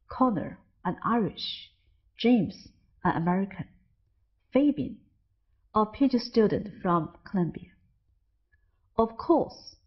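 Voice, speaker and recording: A woman's voice: mid-range voice, breathy edge, highs cut off. A Chinese woman with a Chinese accent, an English teacher, narrating a scripted lesson and carefully stressing example words. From China.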